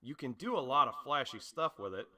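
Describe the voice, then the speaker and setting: A man's voice: mid-range voice, expressive, a little fast, sharp tone. A man playing a brash, spirited teenage boy superhero student in an anime dub, with punchy, emphatic delivery. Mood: upbeat, admiring. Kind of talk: anime dub audition acting.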